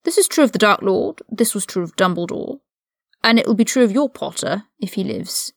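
A woman voicing an older aristocratic male wizard prisoner, haughty and mocking, with measured, condescending, formal speech in an audiobook-style story reading. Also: mid-range voice, expressive, vocal fry, no echo, clean studio sound. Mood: quietly menacing.

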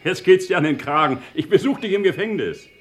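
A man's voice: high voice, lively intonation, brisk. An actor playing a gloating, mocking man who needles a guilty one with taunting glee in a radio crime drama. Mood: gleeful, taunting.

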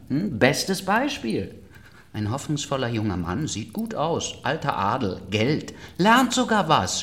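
A man's voice: medium pitch, fairly bright tone. An actor playing a sardonic, sickly middle-aged nobleman in a radio play, agitated and theatrically mocking. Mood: bitter, agitated. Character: bitter, sardonic, ailing aristocrat in his forties or older. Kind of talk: German literary radio play acting.

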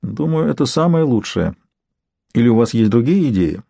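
A man's voice: medium pitch, sing-song, moderate pace, gravelly, mellow, no echo, highs cut off. Cool, courteous young fixer, read by a man. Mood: businesslike. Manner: polite, matter-of-fact.

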